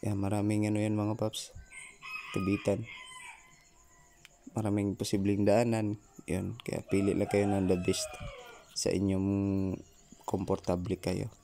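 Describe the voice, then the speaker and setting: Low, flat-toned Filipino man. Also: measured pace, long pauses. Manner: informal, repeatedly addressing viewers as buddies. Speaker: vlogger. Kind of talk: casual how-to vlog narration.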